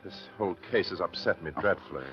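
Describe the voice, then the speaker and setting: An actor playing a falsely humble gentleman villain in an old radio mystery, speaking in a polite, hesitant and formal way. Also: low-pitched, quick pace, close to an everyday voice. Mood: contrite. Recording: muffled vintage radio recording with music.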